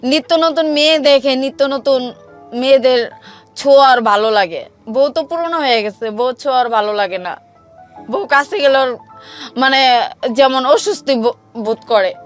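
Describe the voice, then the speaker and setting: A woman's voice: high voice, lively intonation, fairly quick, pauses often, soft background music. A woman with a Bangladeshi accent telling her own life story in emotional, colloquial speech, reenacting the exchanges as she goes.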